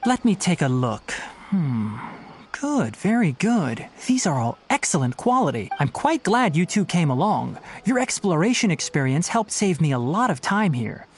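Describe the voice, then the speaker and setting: A man's voice: fairly high pitch, very animated, normal speed, slight background noise. A man playing a young forest ranger in a video game, precise, earnest and a little prim, explaining things like a botanist guide. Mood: businesslike, approving. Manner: precise, instructive, slightly prim. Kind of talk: scripted video game voice acting. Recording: game music behind the voice.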